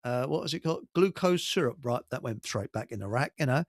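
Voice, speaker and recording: A man's voice: mid-range voice, expressive, a little fast, no echo, studio quality. A man with an English accent hosting a radio show in casual, rambling chat, telling stories with colloquial asides and digressions.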